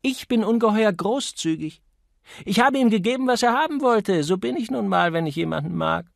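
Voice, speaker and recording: Guy doing a spoiled party girl in an audiobook, gushy and demanding, high voice, really up and down. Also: expressive, normal speed, creaky, no echo, studio quality. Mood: gushing, excited. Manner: gushing, insistent, chattering. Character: flighty, spoiled young party woman, petulant and craving thrills. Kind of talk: audiobook character dialogue.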